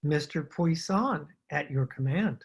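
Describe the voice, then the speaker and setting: Woman as a husky, obsequious male rug merchant. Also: sits low, lively intonation, unhurried, full character voice. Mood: ingratiating. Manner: formal, humble, ingratiating salesman patter. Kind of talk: old-time radio drama acting.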